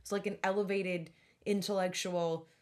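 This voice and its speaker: A woman's voice: fairly low pitch, steady tone, moderate pace. A woman podcast host in casual, chatty conversation with friends. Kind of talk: casual chat about horror films.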